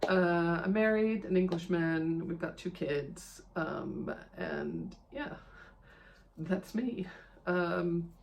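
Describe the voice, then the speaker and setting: Creaky-voiced woman chatting casually. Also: lowish voice, very animated, takes it slowly, slight background noise. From Iceland. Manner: rambling, self-deprecating, chatty. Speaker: video host of a cross-stitch channel. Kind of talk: casual vlog chat to camera.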